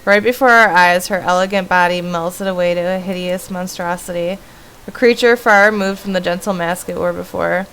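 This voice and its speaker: A woman's voice: lowish voice, fairly even intonation, moderate pace, fairly bright tone, clean tone, rough recording. Woman streamer reading a horror game aloud. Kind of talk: reading a horror visual novel aloud with casual asides. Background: faint music.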